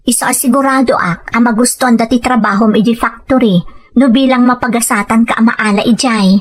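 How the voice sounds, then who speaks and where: A woman with an Ilocano accent playing a caring, concerned wife in an audio drama, with a husky voice. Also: fairly high pitch, fairly even intonation, fairly quick, natural voice. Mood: supportive. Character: caring Filipino wife, gently questioning, concerned.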